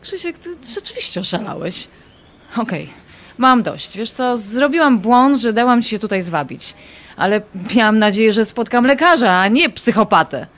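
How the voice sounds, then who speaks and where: A woman's voice: a little high, lively intonation, moderate pace, fairly bright tone. An actress playing a desperate, indignant married woman in an audio drama, defensive and exasperated with a former lover. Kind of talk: audio drama acting, dialogue.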